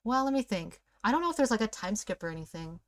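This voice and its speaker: A woman's voice: medium pitch, sing-song, quick pace, dry recording, studio quality. A playful, giggly woman co-hosting a read-aloud, narrating a story and bantering casually. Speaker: co-host of a read-aloud series.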